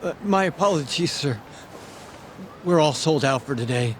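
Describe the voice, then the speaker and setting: A nervous male shopkeeper voiced by an actor in a game cutscene, with a highish, swooping voice. He stammers and defers politely, over faint background noise. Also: expressive, moderate pace, natural voice. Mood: apologetic. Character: timid, flustered, grateful male sweets-shop vendor. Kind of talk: video game character acting.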